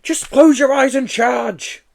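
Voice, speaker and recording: Plucky schoolboy, high and urgent, barking orders as a man puts him on; storybook reading. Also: big pitch swings, moderate pace, darkish tone, exaggerated voice. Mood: urgent bossy. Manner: urgent, snappy, bossy. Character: bossy, plucky young boy on an adventure.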